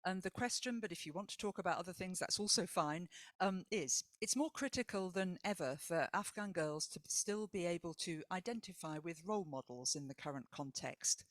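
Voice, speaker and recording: Measured woman moderator, close dry sound. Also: fairly low pitch, no room sound. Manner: measured, reflective, uses tag questions. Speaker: panel moderator. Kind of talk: moderating a panel discussion.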